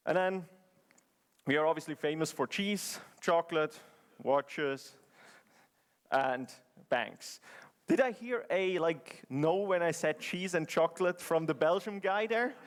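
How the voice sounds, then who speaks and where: A man from Switzerland giving a conference presentation in English, chatty and joking, with many fillers and restarts. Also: fairly high pitch. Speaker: conference speaker. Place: conference hall.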